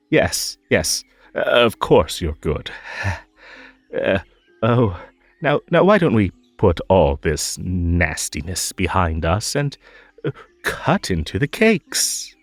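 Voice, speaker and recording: A man playing a possessive magical tea-party host in an audio roleplay, over-polite and halting, with a menacing, unhinged edge under the sweetness. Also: low voice, big pitch swings, dry recording, faint music behind, heavily acted. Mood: flustered, placating. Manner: halting, drawn-out, over-polite, swinging between coaxing and stern. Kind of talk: scripted roleplay audio drama.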